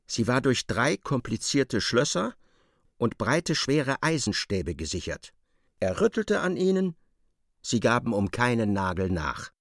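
A man's voice: mid-range voice, sing-song, a little slow, no room sound, clean studio sound. A man narrating a literary radio play in a dramatic, scripted style.

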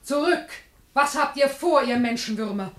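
A woman's voice: sits high, moderate pace. An actress playing Poverty as a wrathful, imperious female spirit, declaiming with menace in a classic German radio play.

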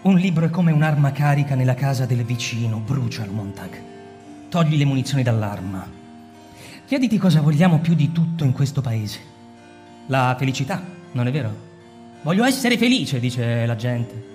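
An actor playing a cold, persuasive male fire captain lecturing a subordinate in a radio drama, smooth and insistent, sometimes hushed. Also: medium pitch, very animated, normal speed, big-room sound, soft background music, natural voice.

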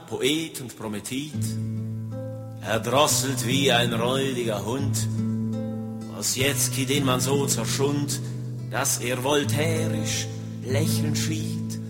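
A man performing political poetry between song and recitation, with solemn, deliberate, theatrical phrasing. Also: mid-range voice. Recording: long reverb, loud guitar accompaniment. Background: plucked guitar music.

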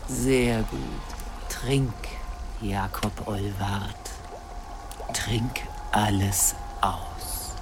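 A sly witch in a radio drama, speaking in slow, taunting riddles. She slips between theatrical speech and hissed whispers, with music loud underneath. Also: rumbling low, fairly even intonation, full character voice. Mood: coaxing. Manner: cryptic, theatrical, sliding into whispers. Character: sly crow-like witch offering a potion, cryptic and taunting. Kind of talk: radio play acting.

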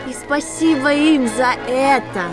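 A woman's voice: high-pitched, measured pace. An actress playing a zealous woman party propagandist, declaiming fervently in an audio drama with music behind her. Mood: devout zeal. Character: zealous female party propagandist denouncing vice. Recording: clear music bed under voice.

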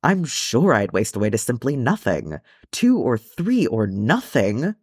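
A man's voice: medium pitch, sing-song, a little slow, darkish tone, husky, no echo, studio quality. A man voicing a cheerful, food-loving young male hobbit in a storybook, chattering eagerly and self-importantly. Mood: eager, incredulous. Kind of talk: storybook character reading.

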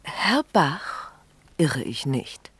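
Haughty, low-voiced upper-class lady. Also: sing-song, very slow, fairly bright tone, slightly rough, no echo, heavily acted. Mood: cool, seductive. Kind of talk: German radio play acting.

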